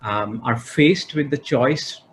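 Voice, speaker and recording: A man speaking as a panelist on a video call, courteous, measured and articulate. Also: mid-range voice, a little hiss or hum.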